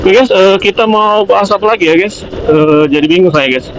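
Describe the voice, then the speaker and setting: A man's voice: high-pitched, a little fast. An Indonesian man motovlogging, casually rambling through a product review in an informal, hesitant, repetitive way as he thinks aloud. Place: outdoors, riding a motorbike on the road. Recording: noisy outdoor traffic and vehicle noise.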